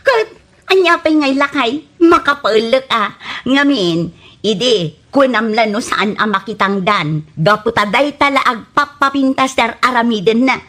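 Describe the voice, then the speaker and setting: A woman's voice: fairly high pitch, measured pace, a bit bright. A woman playing a meddlesome, mocking village wife who gossips and scolds, in an Ilocano radio comedy drama with an Ilocano accent. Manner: complaining, mocking.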